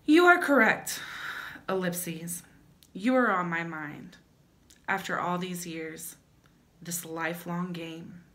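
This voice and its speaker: A woman's voice: on the low side, takes it slowly, long pauses, pushed and bright. Wry, theatrical young woman reading aloud. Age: young adult. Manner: playful, wry, theatrical emphasis. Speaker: host. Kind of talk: comedic dramatic reading with chatty asides. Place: small indoor room at home.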